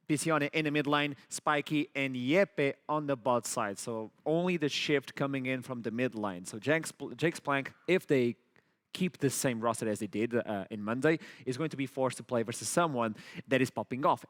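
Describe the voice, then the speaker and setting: A man's voice: medium pitch, normal speed, fairly bright tone. A man hosting an esports analysis desk, who leads the talk by putting questions to his panel. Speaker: host.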